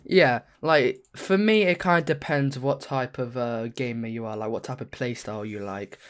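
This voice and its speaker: Casual man with a medium-pitched voice. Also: moderate pace, clear, resonant tone. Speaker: podcast panelist asking questions. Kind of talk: casual gaming podcast talk.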